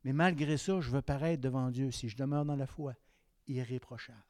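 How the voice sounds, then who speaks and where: A man in his fifties with a Québécois French accent, preaching a sermon that swings between raised exhortation and quiet, confiding asides. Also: mid-range voice, fairly even intonation, brisk, no room sound. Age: 50s.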